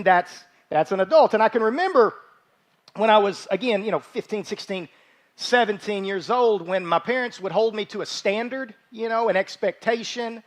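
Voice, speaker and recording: A man's voice: sits high, a bit bright. A man in his forties giving an informal, conversational sermon as a church pastor, folksy, repeating phrases for emphasis. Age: 40s. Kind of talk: conversational sermon with joking asides. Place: church service, speaking live from a stage.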